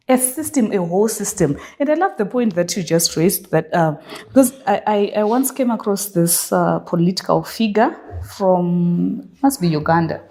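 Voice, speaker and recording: A woman's voice: medium pitch, warm tone. A woman hosting an interview makes a passionate argument, stressing her key words sharply. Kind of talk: conversational interview.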